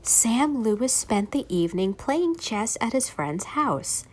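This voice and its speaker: A woman reads spooky stories aloud in a fairly high voice. Her delivery is dramatic and deliberate, very slow, with a lot of melodic movement from phrase to phrase. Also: animated, a little mellow. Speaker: library story time reader. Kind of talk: reading spooky folk tales aloud to children.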